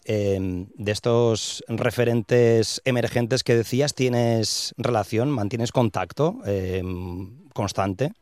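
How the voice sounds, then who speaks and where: A man interviewing a musician, asking measured questions broken by fillers. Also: fairly low pitch, darkish tone, no room sound.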